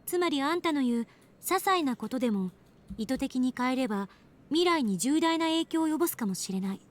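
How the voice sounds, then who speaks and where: A woman's voice: high voice, animated, rapid-fire. A woman playing an argumentative, skeptical young scientist in a visual novel, with a challenging, insistent manner. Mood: stern challenge. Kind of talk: Japanese visual novel voice acting.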